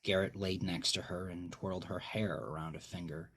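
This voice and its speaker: A man's voice: sits low, fairly even intonation, moderate pace, fairly bright tone. A man narrating scripted dramatic fiction as a storyteller. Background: plucked guitar music.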